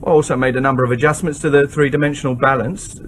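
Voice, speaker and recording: An actor playing a nervous, defensive submarine technician in a radio drama, halting and deferential as he protests. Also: medium pitch, fairly even intonation, fairly bright tone, slight background noise, close to an everyday voice. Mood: guarded. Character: nervous, defensive young male submarine technician under interrogation. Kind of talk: broadcast radio drama acting.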